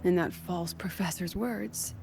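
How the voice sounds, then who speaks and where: An actress voicing a composed, cryptic young woman academy president in a video game, speaking with measured poise. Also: lowish voice, creaky, music in the background. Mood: sly, poised.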